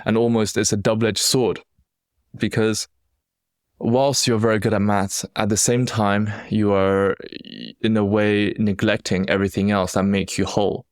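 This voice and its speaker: Young male podcast host, very low voice, Chinese-British accent, earnest and reflective, dry close sound. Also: flat delivery, a little slow, smooth, no echo. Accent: Chinese-accented English with British influence. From China. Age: young adult. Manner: reflective, earnest, with frequent restarts. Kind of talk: solo podcast storytelling.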